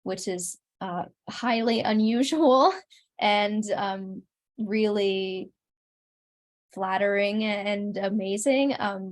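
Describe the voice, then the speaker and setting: A woman chatting casually as an interview guest, earnest and modest in the way she talks. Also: mid-range voice. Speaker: interview guest, singer and content creator.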